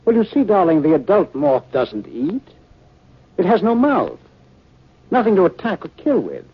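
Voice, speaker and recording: Husky, dramatically swooping radio scientist. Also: male voice, high voice, wildly swooping pitch, normal speed, heavily acted. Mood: calm lecturing. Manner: theatrical, explanatory, soothing. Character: concerned scientist husband, learned, patronizingly calm. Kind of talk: 1950s radio drama acting. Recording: muffled 1950 radio broadcast.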